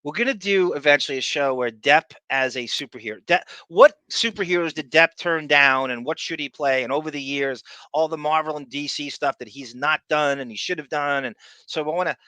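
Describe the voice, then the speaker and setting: A male podcast host with a bright, forceful voice in a dry, close sound. He chats casually and rambles, doubling back on phrases and hopping between asides. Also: a little high, brisk, no room sound, low-quality recording. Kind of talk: casual live chat show.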